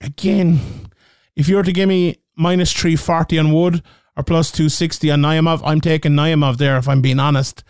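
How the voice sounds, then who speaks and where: A man's voice: fairly high pitch, steady tone, moderate pace, a little mellow, clear, resonant tone, no room sound, studio quality. An Irish man hosting a casual betting show, thinking aloud and checking the odds as he talks. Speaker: host. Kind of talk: casual betting picks talk.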